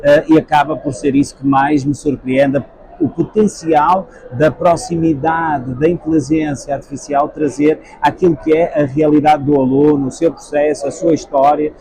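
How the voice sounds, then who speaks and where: A man's voice: on the high side, steady tone, warm tone, slight background noise, low-quality recording. Man with a European Portuguese accent. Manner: measured, frequent hesitations. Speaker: guest, edtech sales executive. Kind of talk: trade-fair interview answers. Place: busy expo hall.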